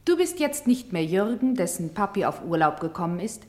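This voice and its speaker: An actress playing a commanding play leader in a German radio drama, deliberate and insistent as she directs. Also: on the low side, sing-song, a little mellow. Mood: coaxing. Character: commanding female play leader summoning people and places to speak.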